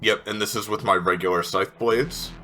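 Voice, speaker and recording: Man, lowish voice, tabletop player, casual game talk, music in background. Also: steady tone, normal speed, pushed and bright.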